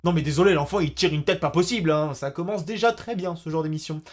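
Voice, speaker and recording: A young man doing casual reaction commentary on a reality show, sarcastic and animated, swinging between loud outbursts and mumbled asides. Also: fairly high pitch, fast talker, slight background noise. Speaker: YouTube commentator.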